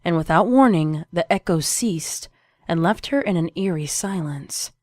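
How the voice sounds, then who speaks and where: Woman narrator, kinda low voice, telling a suspenseful dark tale, music under it. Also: lively intonation, no echo, clean studio sound. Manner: measured, suspenseful storytelling. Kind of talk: dramatic scripted story narration.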